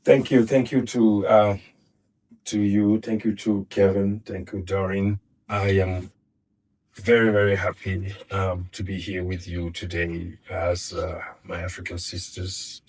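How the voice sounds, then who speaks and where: A middle-aged man with a very deep, husky voice. He talks in a halting way, restarting phrases and repeating words as he finds his thought. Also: flat delivery, darkish tone, poor audio. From Senegal. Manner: hesitant, repeats words while searching for them. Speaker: guest filmmaker. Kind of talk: casual interview.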